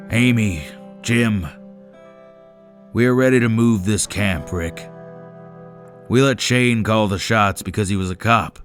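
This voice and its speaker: A man's voice: fairly low pitch, a bit bright. A man playing a frank, world-weary old man, the elder of a survivor camp, in a dramatic comic dub.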